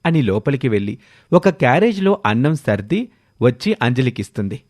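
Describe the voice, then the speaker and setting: Man, storyteller, scripted narration, moral story, medium pitch, dry recording. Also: very animated, normal speed, darkish tone, no room sound.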